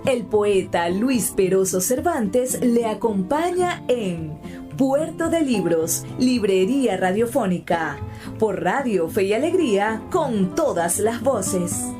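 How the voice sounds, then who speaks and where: Slow, swooping female radio announcer. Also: medium pitch, sing-song. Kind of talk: scripted radio jingle announcement. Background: prominent music.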